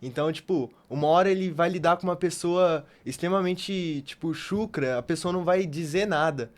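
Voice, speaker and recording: A man with a Brazilian accent and a fairly high voice co-hosts a podcast. He speaks in a casual, conversational way. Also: moderate pace. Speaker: podcast co-host. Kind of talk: casual chat.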